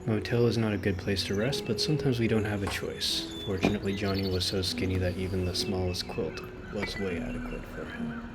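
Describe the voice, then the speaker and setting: A man's voice: deep voice, fairly even intonation, brisk, hardly any pauses. A man reading a video game's story aloud as a streamer, with casual asides. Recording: loud game music behind the voice. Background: loud game music.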